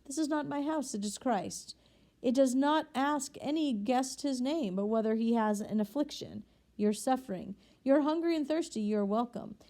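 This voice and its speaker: A woman reading a gentle old bishop. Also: sits high, expressive, moderate pace, voice pushed up. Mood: solemn, tender. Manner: gentle, solemn, hospitable. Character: gentle, solemn elderly male bishop.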